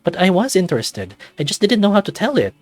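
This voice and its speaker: A man's voice: high voice, wildly swooping pitch, quick pace, darkish tone, dry recording, faint music behind, voice pushed up, heavily acted. A man voicing an anxious, self-doubting man in his thirties, narrating a visual novel introspectively in the first person. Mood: insecure, pleading. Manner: introspective, confessional, dramatic reading.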